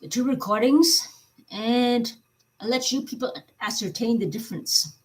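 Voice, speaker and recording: A woman giving informal opinion commentary as a vlog host, speaking in a halting but emphatic way. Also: a little high, a little mellow, poor audio.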